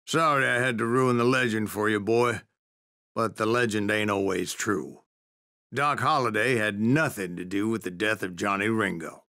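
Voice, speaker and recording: An actor playing a grizzled old gunslinger with an American Western accent, spinning boastful, salty tales in a video game. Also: male voice, fairly low pitch, moderate pace, bright, forceful tone. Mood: wry, matter-of-fact. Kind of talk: dramatic storytelling narration. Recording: music behind the voice.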